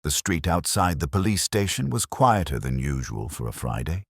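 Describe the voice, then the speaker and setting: Super low husky guy narrating a mystery story, lively up and down, dry close sound. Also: normal speed, no echo. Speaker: audiobook-style narrator.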